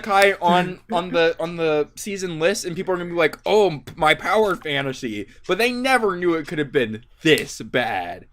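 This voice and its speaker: A man's voice: fairly high pitch, animated, normal speed, hardly any pauses, fairly bright tone. A young man co-hosting a casual anime podcast, bantering in a joking, exaggerating way.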